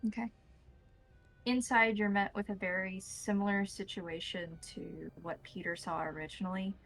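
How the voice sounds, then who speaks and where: A woman runs a tabletop roleplaying game as its game master. She describes scenes in a measured, improvised narration, with noticeable vocal fry. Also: medium pitch, fairly even intonation, fairly bright tone, soft background music, poor audio.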